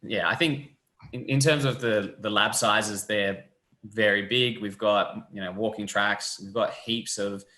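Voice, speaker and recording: A young man, a physiotherapy lecturer explaining the course in a relaxed, friendly way over a video call. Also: lowish voice, unhurried. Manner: relaxed, friendly, loose fillers. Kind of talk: casual explanatory chat. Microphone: webcam on a video call. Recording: echoey room.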